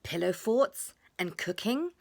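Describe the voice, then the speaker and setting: A woman reading a children's storybook expressively, with drawn-out emphasis and hushed moments. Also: medium pitch, big pitch swings, gravelly. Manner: dramatic pauses, drawn-out emphasis, drops to hushed tones.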